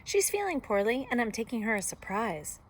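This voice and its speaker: A woman's voice: a little high, very animated, fairly quick, breathy edge, pitched above the performer's own voice. A woman voicing an innocent little girl in a storybook, polite, earnest and wide-eyed. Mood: polite, trusting.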